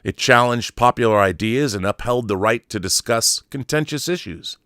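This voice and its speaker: A man with a medium-pitched voice reads written journalism aloud as the host of an online show. He breaks from the text for short asides of his own. The sound is dry, with hardly any room in it. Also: animated, moderate pace, a bit bright, dry recording. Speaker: YouTube host. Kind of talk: reading an article aloud with brief asides.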